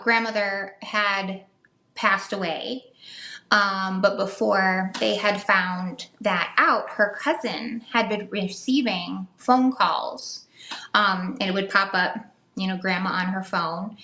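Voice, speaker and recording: A woman's voice: mid-range voice, gravelly, sharp tone. A woman co-hosting a podcast, telling stories in a casual, chatty, rambling way. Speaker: podcast co-host. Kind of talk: casual storytelling chat about the paranormal. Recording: echoey room.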